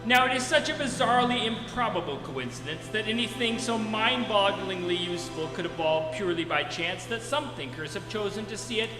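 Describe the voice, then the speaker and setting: A man playing a droll, erudite guidebook narrator in a live comedy radio play, explaining things in a measured, wry way. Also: sits high, animated. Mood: wry, explanatory. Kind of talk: scripted comic narration. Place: live stage performance in a hall. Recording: echoey hall, loud music behind.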